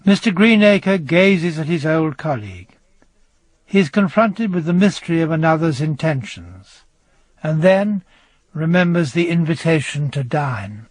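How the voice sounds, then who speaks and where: An actor as a wry, literary narrator giving scripted narration in a radio drama. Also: male voice, fairly high pitch, lively intonation, unhurried, no echo.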